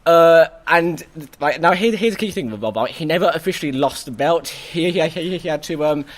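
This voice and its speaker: A hoarse man hosting a casual review chat, excitable and full of stammering restarts. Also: on the high side, fairly quick. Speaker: host.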